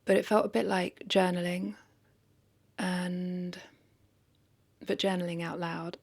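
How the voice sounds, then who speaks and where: A woman's voice: on the low side, flat delivery, slow, vocal fry, darkish tone. A woman talking casually and confessionally to camera as a vlogger, hesitant and reflective, questioning herself and repeating phrases.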